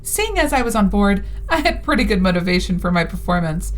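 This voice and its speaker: A woman's voice: medium pitch, very animated, fairly quick, close to an everyday voice. A woman playing a practical, cool-headed, wry space pilot in a sci-fi audio drama. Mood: relieved, wry. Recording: loud music behind. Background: loud music.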